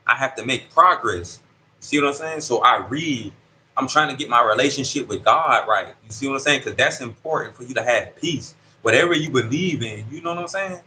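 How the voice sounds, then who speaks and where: A man in his thirties speaks from a prison dorm with a bright, forceful voice, talking in street slang and often checking that the listener follows him. Faint ambient noise sits behind him. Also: medium pitch, rough recording. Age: 30s. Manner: street slang, keeps asking "you see what I'm saying". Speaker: incarcerated interviewee. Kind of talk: casual conversational storytelling.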